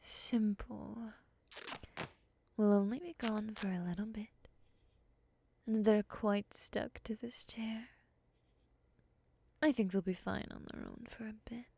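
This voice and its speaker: A woman playing a composed, bossy, possessive moth monster in an ASMR roleplay, speaking softly and breathily, often near a whisper, with a measured, scolding manner. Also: medium pitch, steady tone, vocal fry, a little hiss or hum. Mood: silky, possessive. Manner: measured, scolding, coolly teasing.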